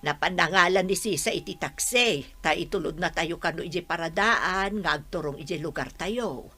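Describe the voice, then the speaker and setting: A woman's voice: on the low side, moderate pace, bright, forceful tone. A woman playing a caring older village mother in a radio drama, coaxing and advising. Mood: concerned, advising.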